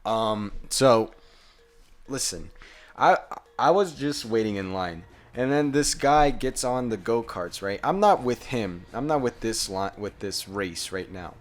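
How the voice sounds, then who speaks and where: A man's voice: lowish voice, animated, a little slow. A young man co-hosting a podcast, telling a rambling story in casual, swearing chat. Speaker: podcast co-host.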